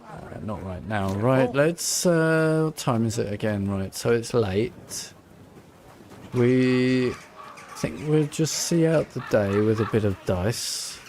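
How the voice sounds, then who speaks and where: A man's voice: medium pitch, lively intonation, slow pace, a little mellow, a little hiss or hum. A man giving casual playthrough commentary as a game streamer, muttering as he thinks aloud.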